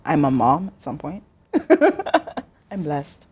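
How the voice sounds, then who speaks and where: Low-voiced casual woman guest. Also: wildly swooping pitch, slow pace, darkish tone, breathy edge. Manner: casual, hesitant with fillers, affirming. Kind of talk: interview conversation.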